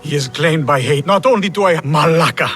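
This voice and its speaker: Game role male actor: grieving melodramatic man, higher register, wailing distress, prominent score behind. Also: fairly quick, fairly bright tone, close to an everyday voice. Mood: distraught. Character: grief-stricken, melodramatic male horse owner. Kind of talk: video game cutscene acting. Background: music.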